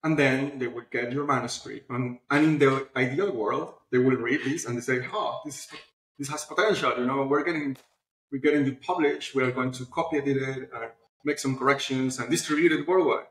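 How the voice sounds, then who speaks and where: A man speaking casually as an interview guest, telling stories in an animated way with quoted asides. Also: medium pitch, a little fast. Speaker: interview guest, publishing platform founder. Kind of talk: casual conversational interview answers. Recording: roomy, echoey sound.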